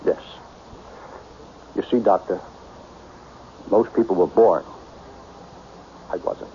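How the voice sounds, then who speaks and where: An actor playing a lonely self-made millionaire, blunt, brooding and self-pitying, in an old-time radio drama style. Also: male voice, lowish voice, takes it slowly. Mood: bitter, dejected. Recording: dull, noisy old radio broadcast.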